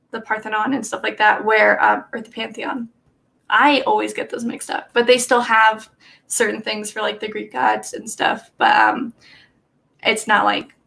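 A woman's voice: a little high, gravelly, a bit bright. A young woman chatting casually on a livestream from a small bedroom, rambling with lots of fillers and nervous laughs, picked up by a desk webcam. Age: young adult. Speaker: livestream host. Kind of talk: casual chat with live chat readers. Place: small bedroom with bookshelf, indoors.

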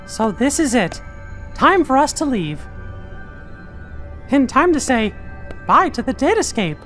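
A tiny cartoon mouse, gentle and upbeat, in a very high squeaky falsetto. The pitch bounces about expressively, with light game music underneath. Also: male voice, sing-song, a little slow, full character voice. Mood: fond farewell. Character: small, kindly, chipper cartoon mouse leader. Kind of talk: video game cartoon acting.

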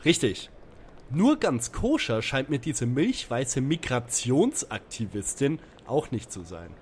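A man's voice: mid-range voice, big pitch swings, takes it slowly, slight background noise. An actor playing a dry, suspicious young male detective in a parody audio drama.

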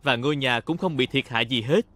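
A man's voice: mid-range voice, fairly quick, a bit bright, no room sound. A man narrating a children's cartoon in speech, talking to the characters like a storyteller for young children. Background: music.

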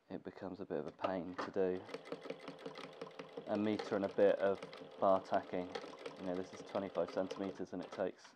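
A young man with a cold, sounding congested, casually talking through a sewing tutorial in his workshop. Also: sits low. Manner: relaxed, thinking aloud with fillers. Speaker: gear maker teaching sewing. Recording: dull lo-fi camera audio with faint noise.

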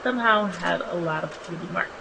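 A young woman with a slightly raspy, just-woken-up voice, narrating her makeup tutorial in a relaxed, chatty way with many ums. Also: lowish voice, fairly quick, noisy background. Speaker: beauty vlogger. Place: small home bathroom.

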